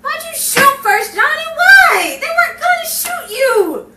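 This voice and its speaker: A woman's voice: very high-pitched, sing-song, unhurried, full character voice. A woman playing a naive, tender-hearted younger sister in a 1950s crime melodrama. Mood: tearful, stunned. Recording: roomy, distant mic, faint noise.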